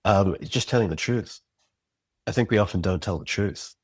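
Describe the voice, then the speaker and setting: A middle-aged man speaking as a podcast guest, talking reflectively with pauses and restarted phrases. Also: sits low, breathy edge, no echo. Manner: thoughtful, pausing, restarts phrases. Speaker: podcast guest, writer and director. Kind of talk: reflective interview talk.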